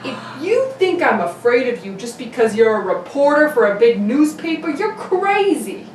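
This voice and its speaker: A woman's voice: high voice, sing-song, moderate pace. A woman playing a hostile, dismissive male masked vigilante in a 1940s radio serial reading. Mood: defiant. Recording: roomy, distant microphone with faint noise.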